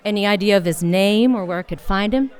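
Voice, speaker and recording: A woman's voice: mid-range voice, fast talker, smooth, some chatter behind, close to an everyday voice. A woman playing a tough, wry female private detective in a noir radio play, with a hard-boiled, clipped, wisecracking delivery. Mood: impatient.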